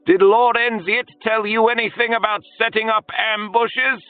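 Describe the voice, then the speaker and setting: A man's voice: high-pitched, fairly even intonation, normal speed, narrow, tinny audio, soft background music, pitched above the performer's own voice, close to an everyday voice. A man voicing a haughty older woman who advises a duke and scolds soldiers. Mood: scornful.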